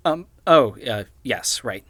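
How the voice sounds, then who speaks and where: An actor playing an awkward, apologetic young amateur investigator in an audio drama, talking in a halting, stammering way. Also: male voice, medium pitch, animated, fairly bright tone, no echo, clean studio sound. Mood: earnest, guilty. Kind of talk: naturalistic audio drama acting.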